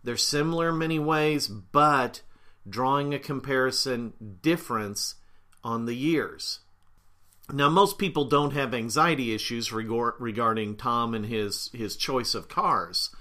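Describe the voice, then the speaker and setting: A middle-aged man hosting a podcast as a therapist, explaining ideas in a relaxed way while slightly congested with a cold, clearing his throat now and then. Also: medium pitch. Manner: unhurried, with fillers and frequent throat-clearing. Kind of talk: casual explanatory talk.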